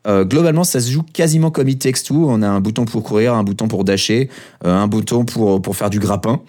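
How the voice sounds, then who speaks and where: A man's voice: fairly low pitch, a little fast, a little mellow. A man co-hosting a casual podcast, talking with frequent hesitations and fillers but enthusiastic. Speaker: podcast co-host.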